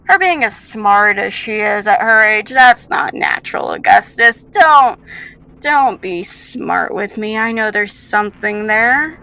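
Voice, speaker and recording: A woman's voice: mid-range voice, expressive, measured pace, gravelly, sharp tone, clear, resonant tone, heavily acted, low-quality recording. A woman voicing a cruel female scientist villain in a tabletop game, condescending and mock-sweet, drawing out her taunts. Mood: gloating.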